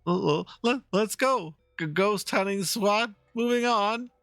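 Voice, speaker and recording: Man as a high, stammering scaredy ghost hunter. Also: unhurried, fairly bright tone, dry recording, clean studio sound. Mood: scared, stammering. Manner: stammering, hesitant. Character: timid, trembling young ghost hunter, easily spooked.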